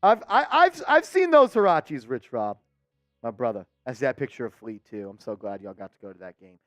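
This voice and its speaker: A man hosting a live sports watch-party stream, chatting with viewers, reading the chat and calling plays excitedly. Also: mid-range voice, brisk, soft background music.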